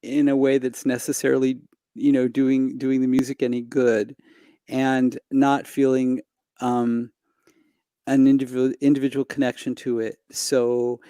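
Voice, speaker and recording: A man's voice: medium pitch, little pitch movement, measured pace, warm tone, smooth, no echo, clean studio sound. A middle-aged man from Los Angeles, a singer guest on a video call using a headset and desk mic. He speaks hesitantly, stopping and restarting his sentences. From Los Angeles, United States. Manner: hesitant, many fillers and restarts. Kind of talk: reflective conversation. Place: home room indoors.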